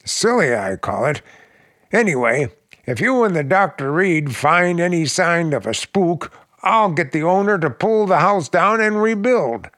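An actor playing a plain-spoken, practical real estate agent in a 1940s radio drama. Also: male voice, fairly high pitch, sing-song, unhurried, fairly bright tone, no room sound, clean studio sound. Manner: matter-of-fact, emphatic storyteller.

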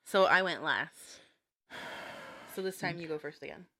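Lively, bright-voiced giggly woman. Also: on the low side, normal speed. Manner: giggly, laughs often. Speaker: podcast co-host. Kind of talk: casual chat.

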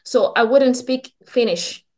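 A woman's voice: fairly high pitch, takes it slowly. A woman, a nursing student and podcast guest, who talks casually with earnest restarts and self-corrections. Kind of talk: casual interview talk.

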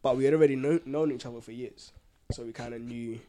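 A man's voice: mid-range voice, fairly even intonation, fast talker, dark tone, slight background noise. A man with a London accent talking casually as a podcast co-host, sharing personal anecdotes in an informal, slangy way.

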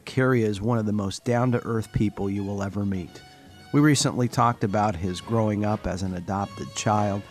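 An American man narrating a scripted introduction as the host of an interview show. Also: fairly low pitch. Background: music.

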